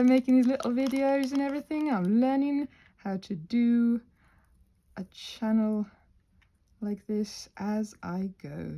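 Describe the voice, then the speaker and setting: A woman with a lively, swooping voice and some gravel in it chats casually as a craft channel host. She is playful and self-deprecating, wandering between tangents as she works with her hands. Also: mid-range voice, slight background noise. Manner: playful, self-deprecating, often giggly while talking; digressive, thinking aloud while working with hands. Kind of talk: casual rambling craft chat.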